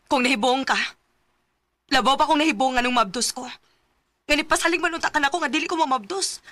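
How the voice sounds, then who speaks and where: A woman's voice: high-pitched, fairly quick, pushed and bright, dry recording. A woman playing an earnest, anxious schoolgirl in love in a radio drama, with a Cebuano Visayan Filipino accent. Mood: upset, firm. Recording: very noisy radio drama recording with clicks.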